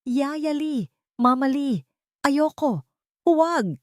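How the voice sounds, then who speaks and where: A small, scared boy, as a woman narrator puts him on in a melodramatic audio story. The voice jumps high and sounds little, clinging and distressed, in a dry recording. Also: animated, extremely slow, no echo, exaggerated voice. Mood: desperate wailing. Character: frightened small boy clinging to his nanny.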